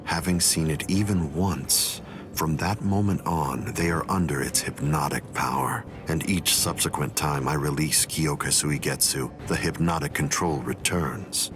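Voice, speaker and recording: A man voicing a calm, coldly condescending villainous captain in an anime game, with measured, composed delivery. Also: rumbling low. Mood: cold, smug. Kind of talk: English voice acting for anime game cutscene. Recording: dramatic music behind the voice. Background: loud music.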